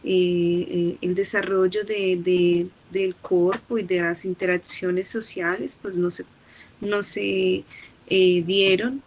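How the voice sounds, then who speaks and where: A woman teacher from Colombia speaks slowly in a Colombian Spanish accent over a video call, pausing often to find her words. Her voice has a gravelly fry, and a faint background hiss runs under it. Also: lowish voice, steady tone, poor audio. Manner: halting, searching for words, mixes Portuguese and Spanish. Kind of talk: online class discussion.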